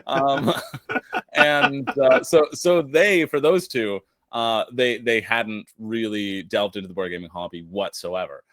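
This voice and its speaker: A man's voice: lowish voice, slow, no room sound. A man chatting casually on a board game stream, telling stories animatedly and acting out what his friends said. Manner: animated storytelling, acts out quoted friends, laughs often. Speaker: board game content creator, guest co-host. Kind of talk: casual live-stream chat.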